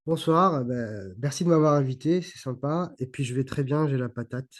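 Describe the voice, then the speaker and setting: A man's voice: mid-range voice, normal speed. A middle-aged man from Provence, a guest talking casually in an interview, hesitant with many fillers and restarts. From Provence, France. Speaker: guest, YouTuber on esotericism.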